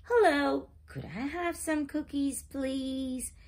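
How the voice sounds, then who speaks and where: Excited squeaky puppy boy, very high, a woman puts him on. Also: slow pace. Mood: eager. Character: eager little puppy boy. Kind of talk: read-aloud storybook voice.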